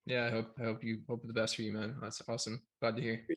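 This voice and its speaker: A man's voice: lowish voice, monotone, fast talker, fairly bright tone, poor audio. A man hosting a podcast interview, chatty and stumbling over his questions. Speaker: podcast host. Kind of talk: casual interview.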